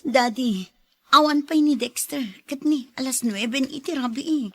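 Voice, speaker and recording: A weary, worried wife in an Ilocano radio drama. Her voice is fairly high and recorded dry and close. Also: normal speed, husky, no echo. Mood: worried, apologetic. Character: worried, weary, long-suffering wife and mother.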